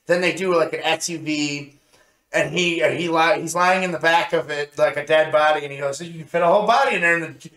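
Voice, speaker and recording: Echoey, higher-voiced man chatting casually. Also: fairly bright tone. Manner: halting, rambling storytelling. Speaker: co-host of an anime review show. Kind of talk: casual review chat. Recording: roomy, echoey sound.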